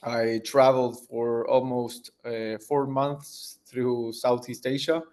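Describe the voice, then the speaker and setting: A man's voice: mid-range voice, level tone, slow, a little mellow. A man, answering interview questions as a tech founder in a thoughtful way, searching for words as he goes. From Argentina. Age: 30s.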